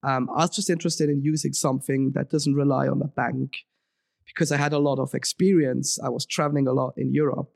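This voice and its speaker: A man's voice: mid-range voice, steady tone, a little fast, vocal fry, a little mellow, no echo, clean studio sound. A young man from Switzerland, a podcast guest talking casually, rambling with many ums and restarts. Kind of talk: casual interview talk.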